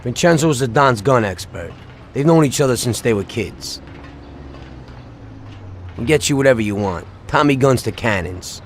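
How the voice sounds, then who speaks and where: An actor playing a chatty, cocky working-class 1930s American gangster in a video game. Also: male voice, mid-range voice, expressive, normal speed, long pauses, slight background noise, lightly acted. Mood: matter-of-fact, casual.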